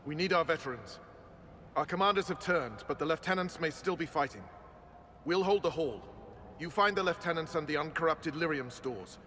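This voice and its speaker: An actor playing a grim, resolute templar knight officer in a fantasy video game, commanding and earnest. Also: male voice, a little high, lively intonation, normal speed, sharp tone, a little hiss or hum. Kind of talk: dramatic video game voice acting.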